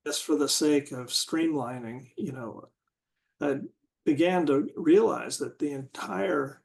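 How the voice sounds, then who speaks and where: A man in a casual group discussion, talking haltingly and searching for words with many restarts. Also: on the high side, gravelly, darkish tone.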